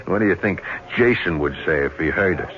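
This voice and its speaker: A man's voice: deep voice, animated, fairly quick. An actor playing a bitter man set on revenge, talking with cold, insistent intensity. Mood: grim. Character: bitter vengeful man plotting a killing. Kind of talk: 1970s radio drama acting. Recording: muffled old radio broadcast.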